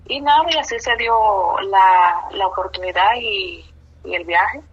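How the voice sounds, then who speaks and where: Lady, slow and hesitant, lots of "eh", kinda dull muffled sound. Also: medium pitch, slightly rough. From Dominican Republic. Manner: slow, hesitant answers with fillers. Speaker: interviewee. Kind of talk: oral history interview.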